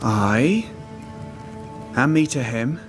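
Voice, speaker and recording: An actor playing a haunted, starving trapper, simple, devout and loyal, speaking in plain rustic phrases in a game drama. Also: male voice, medium pitch, expressive, soft background music. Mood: wistful, uneasy. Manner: rustic dialect phrasing, plain halting sentences. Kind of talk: dramatic video game cutscene acting.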